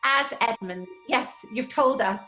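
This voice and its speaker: Sceptical troupe actress for horror drama: high voice, sharp tongue, brisk dismissive manner. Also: female voice, soft background music, low-quality recording. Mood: irritated. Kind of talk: horror audio drama acting.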